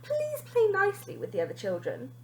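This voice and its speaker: A woman reader playing a brisk, polite primary school teacher in a storybook, formal and emphatic. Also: extremely high pitch, huge pitch swings, a little mellow, pitched above the performer's own voice, heavily acted. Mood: gently reproving.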